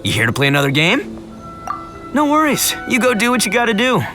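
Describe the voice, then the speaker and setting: A man's voice: extremely high pitch, very animated, brisk. A man playing a breezy, cocky card player in a video game, talking in a chummy, slangy way. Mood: casual, chummy. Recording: music clearly under the voice.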